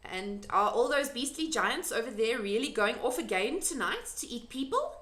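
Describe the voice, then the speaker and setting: A woman voicing a curious, earnest little girl in a children's storybook, questioning and quick to be indignant. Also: fairly high pitch, very animated, a bit bright, voice pushed up. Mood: curious, uneasy. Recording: echoey room.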